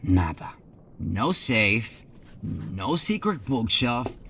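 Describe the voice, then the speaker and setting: A man's voice: on the low side, sing-song, very slow, gravelly, pushed and bright, slight background noise, exaggerated voice. A man acting a theatrical, helpful friend who mixes Spanish into English in an audio drama. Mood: incredulous.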